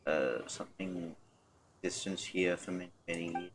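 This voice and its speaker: Deep, gravelly male game streamer. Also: moderate pace, music in the background. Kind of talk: reading game text aloud on a livestream. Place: livestream with game music behind.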